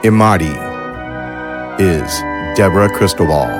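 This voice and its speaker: A man reading scripted podcast credits as the announcer, in a measured, formal way. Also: low-pitched. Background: music.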